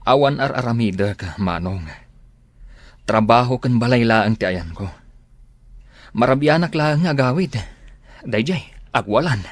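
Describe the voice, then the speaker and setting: An actor playing a weary, henpecked husband in a radio drama, with an Ilocano accent. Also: male voice, mid-range voice, animated, measured pace, long pauses, no room sound. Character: weary, henpecked husband, plaintive and put-upon.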